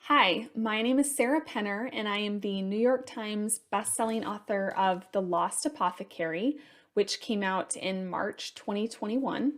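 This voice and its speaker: A woman speaks as an author in a mid-range voice. She gives a prepared, explanatory introduction to a trivia question for viewers. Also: mid-range voice. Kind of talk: prepared talk to camera, explanatory.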